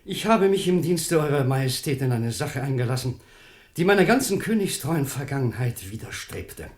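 An actor playing a servile, self-justifying courtier and informer in a radio drama, formal, urgent and unctuous. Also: male voice, mid-range voice, lively intonation, normal speed, natural voice. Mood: urgent, obsequious. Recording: echoey room sound.

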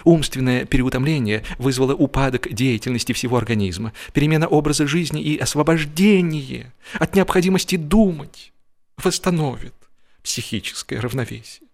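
A man voicing a self-important young gentleman in a humorous audiobook, giving advice in a pompous, measured way. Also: mid-range voice, voice pushed up, lightly acted.

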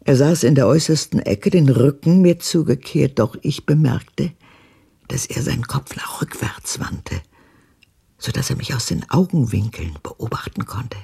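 An actress playing an embittered old woman who recalls her past with dramatic intensity in a radio drama, her voice husky and gravelly. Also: very deep voice, expressive, measured pace, warm tone, whispered, full character voice. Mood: brooding, haunted. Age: older.

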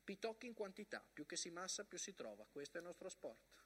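An actor performing a theatrical monologue as a soldier-narrator of the First World War, reading front-line letters aloud in emphatic, broken phrases. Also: male voice, high-pitched, sing-song, a little fast, a bit bright, breathy edge, heavily acted. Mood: anguished.

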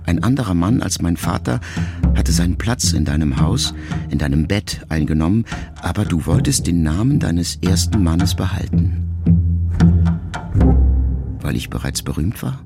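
A middle-aged man reading a literary memoir aloud in a measured, reflective first-person voice. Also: deep voice, animated, gravelly, loud music behind the voice. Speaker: audiobook narrator. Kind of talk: scripted literary narration.

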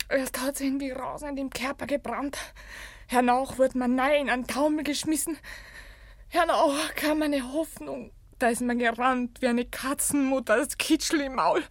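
A woman's voice: high-pitched, dry recording. An actress playing a desperate, broken young country woman in a German radio tragedy, with an anguished delivery and a Silesian accent. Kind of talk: German radio play acting, dialect tragedy.

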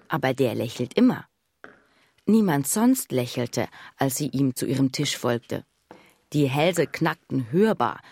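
An actress playing a wry, observant woman who recounts childhood memories in a husky voice, as radio drama narration. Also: low-pitched, dark tone, no room sound, exaggerated voice. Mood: wry, reflective. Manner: measured, dry, wry storytelling. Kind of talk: scripted first-person radio drama narration.

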